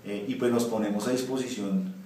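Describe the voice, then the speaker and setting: Man in his thirties, low voice, halting speech, echoey room, distant mic. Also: steady tone, dark tone, slight background noise. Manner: hesitant, many restarts and fillers. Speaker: municipal ombudsman. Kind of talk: interview answers. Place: office with window blinds. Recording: echoey office from far off.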